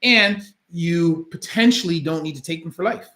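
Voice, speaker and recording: Canadian guy, doctor doing a q&a, bit of a high voice, lots of ums and uhs, echoey room. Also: pushed and bright. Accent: Canadian, with a faint Jamaican trace. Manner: thoughtful and measured, with many ums and uhs and restarts. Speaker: orthopedic surgeon answering viewer questions. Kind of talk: casual question-and-answer livestream. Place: his home office. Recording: roomy echo in a room.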